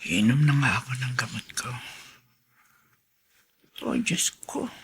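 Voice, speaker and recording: A poor, sickly older sister in a radio drama. Her voice is creaky and husky, and she speaks slowly with weary, tender emotion. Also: extremely low pitch, very animated, full character voice. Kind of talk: Filipino radio drama acting.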